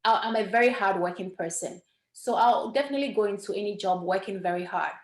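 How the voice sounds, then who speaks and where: A woman's voice: medium pitch, fast, echoey room, rough recording. Ghanaian woman sharing her story earnestly. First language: Ewe. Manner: earnest, warm storytelling with small restarts. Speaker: podcast guest, entrepreneur. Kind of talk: casual interview talk.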